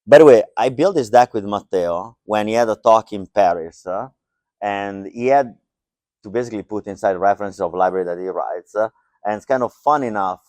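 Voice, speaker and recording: A middle-aged man keeps a live tech talk loose and jokey, full of fillers. His voice sits low and smooth. It is picked up close and dry, with no room sound. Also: a little mellow, dry recording. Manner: joking, loose and conversational, many fillers. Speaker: conference speaker. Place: lecture hall.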